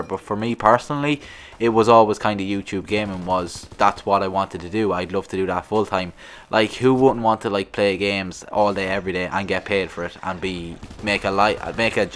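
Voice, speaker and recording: Low-voiced rural Irish man. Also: a bit bright, slight background noise. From Ireland. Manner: rambling, restarts, frequent "like I said". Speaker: gaming channel host. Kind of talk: casual talk to camera.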